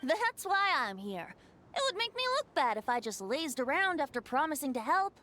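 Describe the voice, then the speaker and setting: A woman's voice: sits high, big pitch swings, normal speed, noisy background. A woman voicing a playful, theatrical young male bard in a video game. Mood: breezy. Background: game music.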